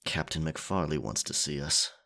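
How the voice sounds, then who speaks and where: A man's voice: extremely low pitch, animated, normal speed, gravelly, sharp tone, exaggerated voice. A man playing a guarded, roguish young pirate in an audio drama, with a restrained manner. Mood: guarded, tense.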